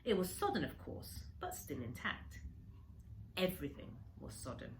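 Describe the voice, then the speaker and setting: Lady teacher reading a kids book out loud, deep-ish low voice, dramatic emphasis, bit of a noisy recording. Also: huge pitch swings, moderate pace, gravelly. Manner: dramatic emphasis on descriptive words. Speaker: primary school teacher reading aloud. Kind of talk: expressive children's storybook reading.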